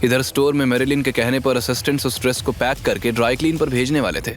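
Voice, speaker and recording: Deep-toned male story narrator over music. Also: steady tone, fast. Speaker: audio drama narrator. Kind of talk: dramatic scripted story narration in Hindi. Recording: music bed under voice. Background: background music.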